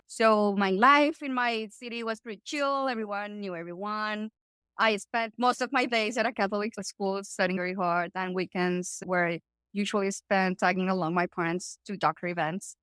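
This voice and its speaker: A woman from Colombia with a Colombian Spanish accent, a Spanish native speaker, telling her life story as a podcast guest in long animated runs with casual asides. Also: mid-range voice, clean tone, dry recording. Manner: animated, talks in long runs with asides like "right?" and "you know". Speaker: guest, startup founder.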